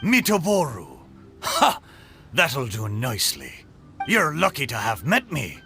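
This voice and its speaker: A jolly old talking boat, wildly swooping voice. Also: male voice, medium pitch, huge pitch swings, creaky, music in the background, heavily acted. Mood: proud, jolly. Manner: salty sailor talk, hearty, drawn-out. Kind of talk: video game voice acting.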